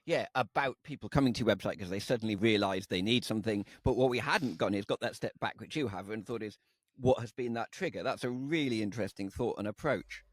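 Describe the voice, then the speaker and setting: A man's voice: fairly low pitch, fast talker, dry recording. A man co-hosting a podcast, upbeat and encouraging toward the guest. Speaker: podcast co-host. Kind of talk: casual podcast chat.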